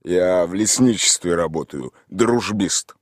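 A man voicing a hard-drinking village man who drawls and blusters loudly. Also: low-pitched, measured pace, dry recording, studio quality. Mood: rowdy.

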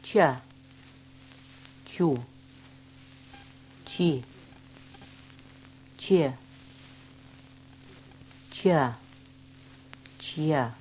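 A woman reading language-course drills and dialogues with slow, deliberate, carefully enunciated speech. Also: low-pitched, a little mellow, slight background noise, rough recording. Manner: clear, deliberate articulation of each word.

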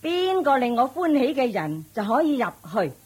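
A woman's voice: high voice, big pitch swings, moderate pace, a little mellow, husky, exaggerated voice. A woman playing a haughty, domineering rich matriarch and mother-in-law in a radio drama, scolding and mocking. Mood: scornful. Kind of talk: Cantonese radio drama acting.

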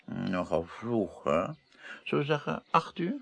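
Genial, gravelly older gentleman, lively intonation. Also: on the low side, moderate pace, exaggerated voice. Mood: genial, relaxed. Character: genial older court president, courteous, fond of comfort. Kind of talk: Dutch radio drama acting, 1980s.

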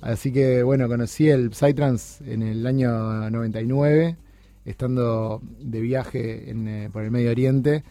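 Hombre con acento uruguayo, charla entrecortada llena de muletillas, voz grave oscura en una radio. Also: male voice, medium pitch, steady tone, warm tone, no echo. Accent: Uruguayan Spanish. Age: 30s. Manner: halting, many restarts and fillers. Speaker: guest. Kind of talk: casual interview chat. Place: radio studio.

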